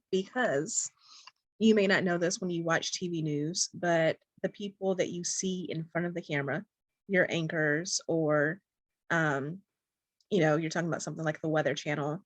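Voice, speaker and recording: A soft-spoken young woman talks straight to the listener as a dating coach, gentle and encouraging. Her voice sits a little low for a woman. The recording is close and dry, with no room sound. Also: dry recording. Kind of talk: direct-to-camera coaching talk.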